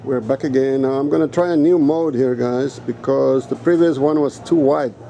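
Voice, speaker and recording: A man's voice: medium pitch, moderate pace, creaky, dark tone, slight background noise, low-quality recording. A native Afrikaans-speaking man vlogging outdoors in a casual, rambling and blunt way, swearing now and then. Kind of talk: casual rambling vlog talk.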